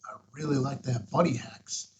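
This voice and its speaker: A man's voice: medium pitch, fairly even intonation, normal speed, slightly rough. A man talking casually in his vlog, plain-spoken with a wry, exasperated edge. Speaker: ham radio vlogger.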